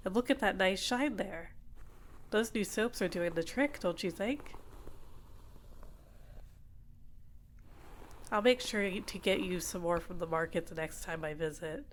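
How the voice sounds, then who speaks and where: A woman playing a doting, possessive villain in an ASMR roleplay, speaking in a gentle, coaxing, soothing way. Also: on the low side, normal speed, slight background noise, lightly acted. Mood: gentle, attentive. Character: doting, possessive female villain caring for a captive. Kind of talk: ASMR roleplay, personal attention.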